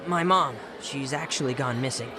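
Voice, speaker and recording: A man's voice: medium pitch, normal speed, crowd noise, close to an everyday voice. A man playing an eager, hopeful teenage boy in a video game cutscene. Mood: earnest.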